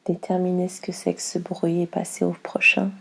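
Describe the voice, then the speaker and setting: A woman leading a guided yoga nidra relaxation, speaking slowly and calmly in a soft, breathy voice with long pauses. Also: fairly low pitch, rough recording. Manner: slow, calm, soothing instruction with long pauses.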